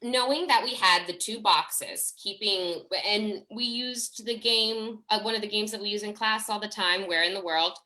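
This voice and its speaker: A woman's voice: mid-range voice, moderate pace, hardly any pauses, bright, forceful tone, poor audio. A woman speaking as a theatre director in a video call discussion, effusive and chatty, often cutting across her own sentences. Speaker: theatre director and fight choreographer.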